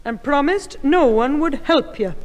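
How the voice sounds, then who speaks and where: Strict mum, high voice, brisk and scolding, old radio comedy in an echoey hall. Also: female voice, lively intonation. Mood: firm. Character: brisk, no-nonsense mother of a schoolboy. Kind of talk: 1960s radio comedy acting.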